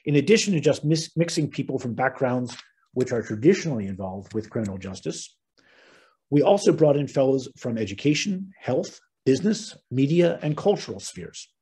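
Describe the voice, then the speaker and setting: A middle-aged American man opens a webinar, speaking in an earnest, measured tone with the odd "um" and restart. His voice is husky and mellow, and it comes through a video-call microphone. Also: mid-range voice, big pitch swings. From United States. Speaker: webinar host and moderator. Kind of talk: formal opening remarks.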